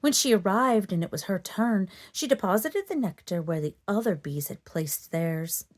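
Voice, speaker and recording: A woman storyteller narrating a children's book in an expressive, dramatic read-aloud manner. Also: mid-range voice, moderate pace. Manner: dramatic, animated delivery for children.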